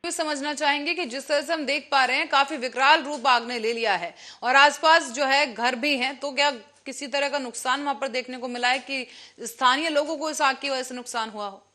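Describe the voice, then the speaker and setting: A woman's voice: sits high, normal speed, hardly any pauses, bright, forceful tone. A woman presenting the news as an anchor. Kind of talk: news presenting, scripted narration and live questions to a reporter.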